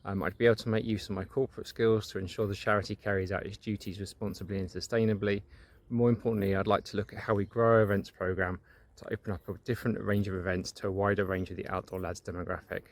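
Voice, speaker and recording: A man's voice: lowish voice, little pitch movement, fast, no echo. A man delivering a prepared manifesto, asking members to support his application for a trustee role. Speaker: trustee candidate. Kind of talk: recorded manifesto video.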